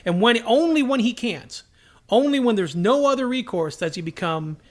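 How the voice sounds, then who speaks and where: A man's voice: extremely high pitch, expressive, moderate pace. A man co-hosting a podcast in casual fan discussion, acting out bits of dialogue as he explains. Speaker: podcast co-host.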